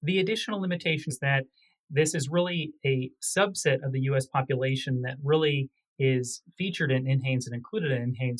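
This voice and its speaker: Guy researcher on a medical podcast, middle pitch, formal and technical, careful academic wording. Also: poor audio. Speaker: physician researcher, interview guest.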